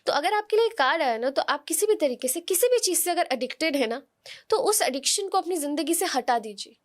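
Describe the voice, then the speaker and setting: High-pitched woman giving spiritual guidance. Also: animated, fairly quick. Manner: instructive, addresses listeners directly, uses rhetorical questions and tag questions. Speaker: oracle card reader, podcast guest giving horoscope guidance.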